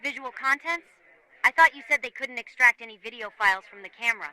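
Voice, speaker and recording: A woman's voice: on the high side, animated, fast talker, bright, forceful tone, dry recording, rough recording. A woman playing an inquisitive character who politely presses for answers in a horror series. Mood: probing. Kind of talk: scripted horror series dialogue.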